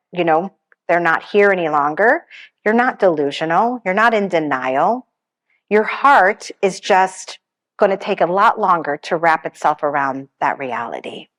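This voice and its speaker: Gentle woman counseling the grieving. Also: lowish voice. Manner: gentle, reassuring, shares personal stories; says she has a pretty strong voice. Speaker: grief counselor giving a seminar. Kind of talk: conversational presentation to an audience. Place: funeral home seminar room.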